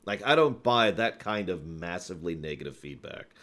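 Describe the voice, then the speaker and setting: Animated low-voiced man hosting a livestream. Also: normal speed. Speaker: live stream host. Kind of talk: casual livestream talk to chat, answering viewer questions. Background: background music.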